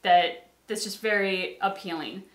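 A woman's voice: mid-range voice, a little slow. A woman in her thirties giving casual commentary as a reaction show co-host. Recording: roomy indoor echo.

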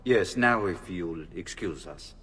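Deep-voiced, stern father. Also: expressive, fairly bright tone, husky, distant mic, full character voice. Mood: businesslike. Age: middle-aged. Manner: measured, authoritative. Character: stern but fond middle-aged Italian nobleman father. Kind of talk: video game character acting.

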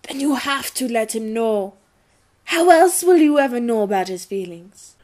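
Girl doing excitable castle servant, high lilting voice, encouraging, audio drama style. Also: normal speed, close to an everyday voice. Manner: encouraging, theatrical.

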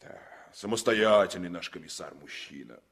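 A man's voice: low-pitched, normal speed, a crowd in the background. A man playing a hearty, folksy sailor in a 1960s Soviet radio play, musing and emphatic. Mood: confiding. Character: hearty, confiding Baltic sailor, devoted to revolution.

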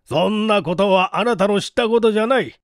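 A man's voice: high-pitched, no room sound, clean studio sound, voice pushed up, natural voice. A man acting a proud, tormented police detective. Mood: scornful. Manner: groaning, drawn-out, strained delivery.

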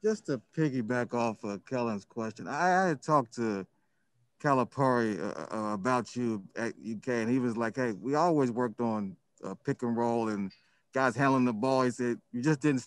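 A male sports reporter puts his questions together haltingly, restarting words as he goes. His voice sounds dry, with no room around it. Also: mid-range voice, clear, resonant tone, no room sound.

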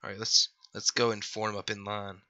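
A man's voice: fairly low pitch, monotone, moderate pace, pushed and bright. A young man with a scratchy voice after being sick, rambling and apologizing through casual gameplay commentary. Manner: rambling, apologetic, lots of fillers. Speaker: gaming youtuber.

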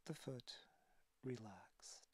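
A man leading a guided relaxation as a yoga teacher, speaking calmly and softly with breathy phrases and long pauses. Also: mid-range voice, dry recording. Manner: calm, soothing instruction.

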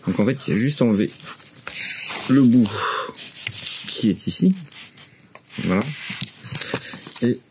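A man's voice: mid-range voice, lively intonation, a little slow, long pauses, background noise. A French man in his forties casually explaining a repair step by step, hesitant with many fillers, in a large workshop. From France. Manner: hesitant, many "euh" and restarts. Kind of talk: casual how-to demonstration.

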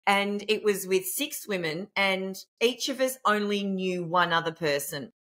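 A middle-aged woman with a naturally loud voice, hosting a relaxed interview from home over a webcam. She talks in an animated, expressive way, warm and chatty, and laughs easily. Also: medium pitch, a little slow. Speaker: podcast host. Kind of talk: conversational interview over video call. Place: indoor home room. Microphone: video call webcam.

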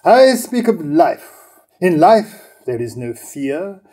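Man reading a slow, high-voiced priest. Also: very animated, warm tone, full character voice. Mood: solemn, glad. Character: solemn, benevolent male high priest bearing good news. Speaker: actor in a staged reading. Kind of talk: formal verse drama reading.

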